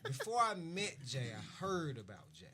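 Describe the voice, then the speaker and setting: A man's voice: fairly high pitch, wildly swooping pitch, moderate pace, a crowd in the background. A man telling a story casually and emphatically, repeating phrases for effect.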